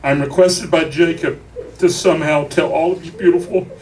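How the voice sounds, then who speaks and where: A grieving man delivers an emotional eulogy to a crowd, speaking deliberately, with profanity and wry humor. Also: fairly high pitch, fairly even intonation, darkish tone. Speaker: eulogist at a memorial. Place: crowded bar at a memorial. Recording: noisy live room, distant mic.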